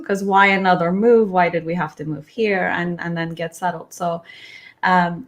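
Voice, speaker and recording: A young woman from Iran speaks as a guest in a casual interview. Her voice sits a little low, and she talks in a chatty, loose way. She laughs often and restarts sentences as she goes. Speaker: immigration lawyer, podcast guest.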